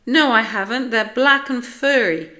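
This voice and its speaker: A woman's voice: fairly low pitch, measured pace, lightly acted. A British woman voicing an anxious, plaintive young boy cat who grumbles in a storybook. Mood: indignant.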